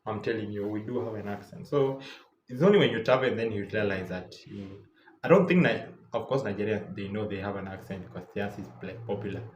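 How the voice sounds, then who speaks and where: A man's voice: lowish voice, a little fast, a little mellow, roomy sound, slight background noise. A young Kenyan man with a Kenyan English accent, giving casual travel tips in a loose, chatty way full of repeats and fillers. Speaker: travel vlogger. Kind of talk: casual travel advice talk.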